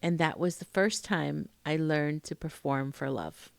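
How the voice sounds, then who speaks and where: An American woman in her late forties shares a personal story to camera. Her voice sits on the low side with noticeable fry, and she speaks slowly and expressively, pausing for emphasis. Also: no room sound. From Queens, New York, United States. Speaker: vlogger, emotional intimacy coach.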